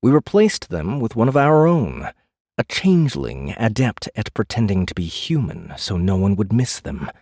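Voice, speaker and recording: An actor playing a weary, haughty fae jailer in an audiobook drama, brooding and disdainful in a measured way. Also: male voice, fairly low pitch, big pitch swings, darkish tone, no room sound, studio quality. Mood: wistful, detached.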